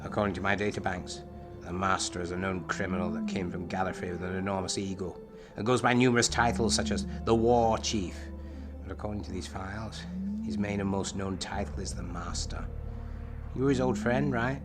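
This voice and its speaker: A man playing a patient, probing machine therapist in an audio drama, speaking in a measured, formal, clinical way. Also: deep voice, steady tone, lightly acted. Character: probing, patient male computerised therapist consulting databanks. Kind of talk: scripted audio drama dialogue. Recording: clear music behind the voice. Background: music.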